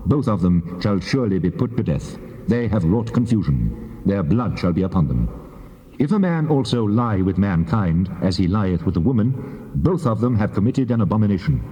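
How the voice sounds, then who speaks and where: An actor playing God as a solemn, commanding lawgiver in a dramatized scripture reading, speaking in formal archaic phrasing. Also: male voice, lowish voice, warm tone, close to an everyday voice. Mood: stern, grave. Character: God giving stern laws, solemn male deity. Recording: echoing long-reverb hall sound.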